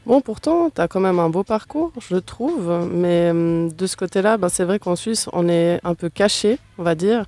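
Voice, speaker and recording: A radio host asks long, rambling questions in a relaxed, chatty way. The voice sits in a middle range with a warm, dark tone. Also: medium pitch, normal speed, hardly any pauses, no room sound. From Switzerland. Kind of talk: radio interview.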